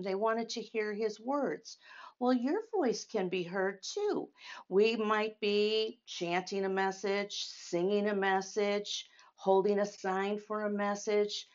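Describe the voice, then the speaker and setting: A woman teaching and reading a story aloud to young children, speaking in simple, deliberate phrases and asking them questions. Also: medium pitch, darkish tone, highs cut off.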